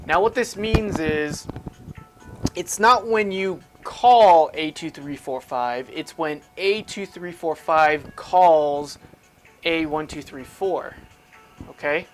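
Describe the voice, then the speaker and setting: A man with a voice pitched a little above average leads a casual, hands-on technical lesson. He talks through each step as he does it, often posing a question and then answering it himself. Music plays behind his voice. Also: takes it slowly. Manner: informal, self-questioning, explains aloud while demonstrating. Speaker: instructor. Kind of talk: casual step-by-step tech tutorial.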